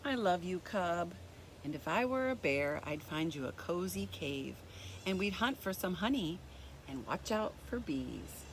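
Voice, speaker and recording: Expressive woman reading a children's story, lively pitch. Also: a little hiss or hum, low-quality recording. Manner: deliberate, expressive, addressing young listeners directly. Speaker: children's story time host reading a picture book. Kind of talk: read-aloud storytelling for young children.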